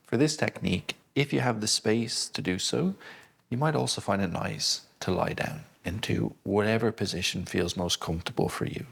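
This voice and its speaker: A man gently guiding a breathing exercise, speaking slowly and soothingly. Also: fairly low pitch, vocal fry, slightly rough. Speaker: breathing instructor. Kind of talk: guided breathing lesson.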